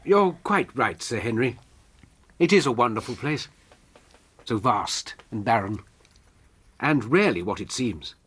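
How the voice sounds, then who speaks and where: An actor playing a controlling, ingratiating naturalist in a radio drama, speaking emphatically and politely, with a firm edge. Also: male voice, medium pitch, animated, unhurried, pauses often, fairly bright tone, breathy edge. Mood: enthusiastic. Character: excitable naturalist and former schoolmaster, controlling, ingratiating.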